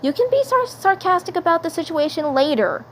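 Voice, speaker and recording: A woman's voice: extremely high pitch, sing-song, fairly quick, voice pushed up. A woman voicing a young cartoon superhero girl, the caring, bossy leader of her sisters, firm and soothing. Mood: firm, chiding. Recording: faint background noise.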